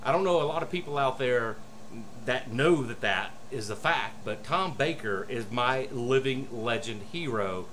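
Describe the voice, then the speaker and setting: A man in his forties chatting casually to a webcam as a vlogger, enthusiastic and rambling, with halting pauses. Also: mid-range voice, lively intonation, a bit bright. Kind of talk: casual excited unboxing vlog chat. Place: small room at home, indoors. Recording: noisy room with air conditioner hum. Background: air conditioner hum.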